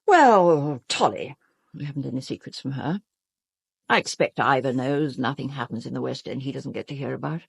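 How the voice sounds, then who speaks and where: A self-absorbed British stage diva in a radio drama. Her voice is husky and swings widely in pitch, recorded close and dry. She is haughty and imperious, with a theatrical, melodramatic delivery that betrays an anxious vanity. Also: animated, normal speed, no echo, heavily acted. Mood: brisk, evasive. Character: vain, self-absorbed, fearful stage actress. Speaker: actress in an audio drama.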